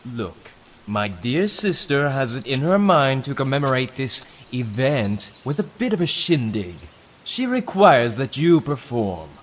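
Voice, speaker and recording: A man's voice: medium pitch, big pitch swings, a little slow. A man playing a vain, flippant prince in an audio drama, pompous and sarcastic. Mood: commanding. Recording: reverberant, faintly noisy.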